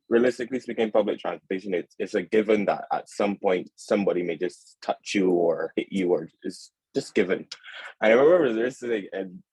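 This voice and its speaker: Deep husky male webinar moderator. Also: a little mellow, no echo, low-quality recording. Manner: friendly, chatty, anecdotal. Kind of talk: moderating a panel discussion. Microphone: video call.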